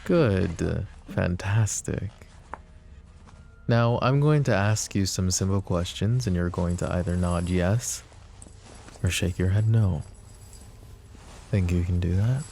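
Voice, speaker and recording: A man voicing a possessive, menacing mafia boss in a roleplay audio drama, talking slow and taunting, with sweet pet names. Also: sits low, slight background noise, exaggerated voice. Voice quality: soft, smooth and taunting. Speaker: voice actor.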